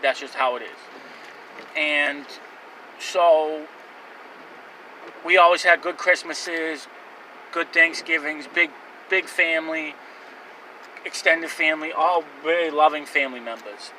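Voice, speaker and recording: A man's voice: fairly high pitch, sharp tone, distant mic, a little hiss or hum, poor audio. A man in his twenties telling his own life story to camera in a rambling, candid way, with fillers and restarts. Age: 20s. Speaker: vlogger.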